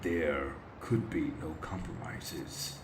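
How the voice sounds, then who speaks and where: An extremely low-voiced, brooding vigilante, acted by a man, speaks in terse, solemn lines for an audio drama. He is relentless and grim, and sounds distant in an echoing space with clear noise behind him. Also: fairly even intonation, slow. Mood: solemn, resolute. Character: grim, driven male vigilante crime-fighter, brooding and relentless. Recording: roomy echo, distant, clear background noise.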